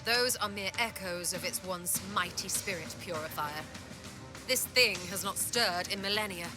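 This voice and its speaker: A woman's voice: on the low side, a little slow, natural voice. A woman playing an imperious, driven inquisitor in a video game cutscene, with a haughty, commanding, intense delivery. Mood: awed, intent. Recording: roomy echo under loud music.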